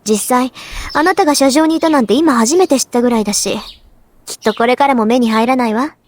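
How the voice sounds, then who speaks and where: A woman playing a proud, composed, sharp-tongued teenage schoolgirl in a Japanese anime visual novel, polite but cutting. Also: high-pitched, racing. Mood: scornful.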